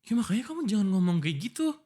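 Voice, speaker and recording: Man acting exasperated jealous fiancé, widely swinging pitch. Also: big pitch swings, very fast, warm tone. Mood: defensive, exasperated. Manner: emotional, argumentative, pleading, full of pointed questions. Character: exasperated, jealous young Indonesian fiancé arguing with his partner. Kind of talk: boyfriend ASMR roleplay acting.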